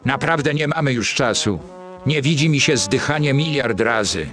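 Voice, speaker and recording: Guy doing grumbly cartoon sidekick handyman, dry n resigned, medium pitch, bit of music behind. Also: lively intonation, moderate pace, lightly acted. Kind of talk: dubbed comic cartoon dialogue in Polish.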